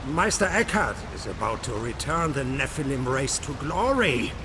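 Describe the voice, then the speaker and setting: A man's voice: medium pitch, sing-song, moderate pace, natural voice. An actor playing an arrogant, fanatical cult henchman in a video game, with a haughty, zealous, theatrical delivery. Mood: zealous boasting. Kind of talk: video game cutscene acting. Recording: noisy ambience behind the voice.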